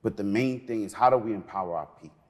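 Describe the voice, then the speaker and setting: Middle-aged guy from peru by way of new york, low voice, talks with real passion. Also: fast talker. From Peru, raised in New York. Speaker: museum cultural program manager, guest. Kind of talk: passionate interview talk.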